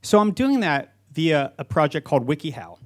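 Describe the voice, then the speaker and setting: A man in his forties speaks in a fairly high voice, presenting a prepared talk to an audience. He is a company founder on an auditorium stage, using a handheld microphone. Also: big pitch swings, normal speed, a little hiss or hum. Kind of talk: prepared conference speech to an audience.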